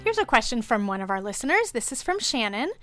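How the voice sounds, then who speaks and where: A woman's voice: on the high side, very animated, fast talker, over loud music. A woman hosting a podcast from a studio, opening the show in an upbeat, welcoming way. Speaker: podcast host.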